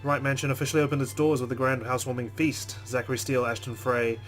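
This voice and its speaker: A man's voice: mid-range voice, fast, faint music behind. Man reading game narration dramatically. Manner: expressive reading with reactions. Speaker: let's player reading a visual novel. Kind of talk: dramatic first-person narration.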